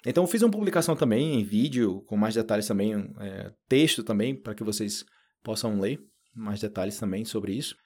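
A man from Brazil with a Brazilian Portuguese accent, hosting a casual podcast lesson. He talks in a chatty way, fills his talk with little fillers and acts out quoted people in a higher voice. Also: lively intonation, fairly quick, darkish tone, slightly rough. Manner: lots of fillers like "tipo" and "né". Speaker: Portuguese teacher, podcast host.

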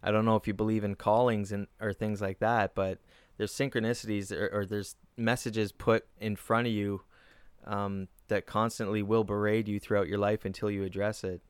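A man's voice: fairly low pitch, level tone, normal speed, clear, resonant tone, no echo. A man, a comedian, talking casually in a reflective, earnest way. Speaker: comedian guest. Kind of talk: casual chat.

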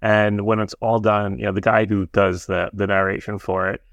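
A man's voice: lowish voice, flat delivery, normal speed, vocal fry, dry recording, muffled recording. A man co-hosting a casual podcast chat, easygoing, recapping the plot with ums and uhs. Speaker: podcast co-host.

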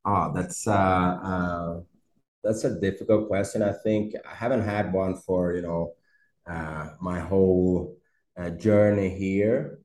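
A low-pitched Swedish man with a mellow, dark tone speaks as a guest in an interview. He hesitates often, stacking fillers and repeated words, and laughs easily. His voice carries an echoey room sound. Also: steady tone, slow pace. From Sweden. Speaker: startup CEO guest. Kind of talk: podcast interview conversation.

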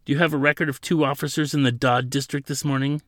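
A man's voice: mid-range voice, level tone, fast, fairly bright tone, no echo, studio quality, pitched above the performer's own voice, lightly acted. A man voicing a stern female android who poses as legal counsel, speaking in an authoritative, insistent way for an audiobook. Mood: stern, confident.

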